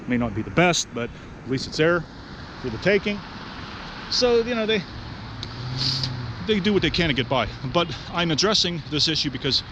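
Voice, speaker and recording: A man in his forties with a head cold, walking a city street at night and talking bluntly and profanely into a handheld phone camera. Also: mid-range voice. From Pittsburgh, United States. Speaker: vlogger. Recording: street noise behind the voice.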